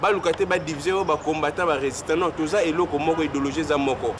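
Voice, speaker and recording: A Congolese man with a fairly high voice argues through informal political commentary. He switches between two languages as he goes. The recording is noisy, like an urban outdoor spot. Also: steady tone, fast.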